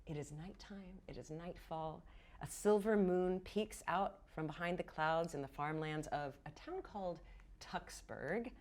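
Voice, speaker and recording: Low-pitched woman narrating tabletop fantasy. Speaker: game master and host. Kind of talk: improvised narration of a tabletop fantasy roleplaying game. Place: indoor studio set.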